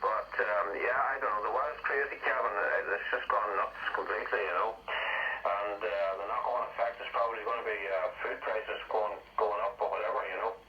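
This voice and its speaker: A man's voice: lowish voice, fairly even intonation, brisk. A man with an Irish accent chatting casually over two-way radio, hesitating and repeating his words. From Ireland. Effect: two-way radio transmission. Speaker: amateur radio operator. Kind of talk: casual radio contact chat. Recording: narrow, slightly noisy radio signal.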